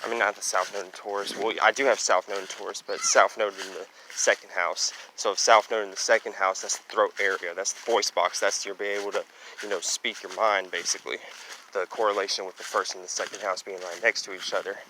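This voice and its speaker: Low-voiced man rambling as he walks outdoors. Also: fairly even intonation, a little fast, poor audio. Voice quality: loud, booming voice. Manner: rambling, heavy on fillers like "you know" and "basically". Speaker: astrology vlogger. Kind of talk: casual walking vlog monologue. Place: outdoors, walking near trees and traffic. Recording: outdoor street noise, wind and passing traffic.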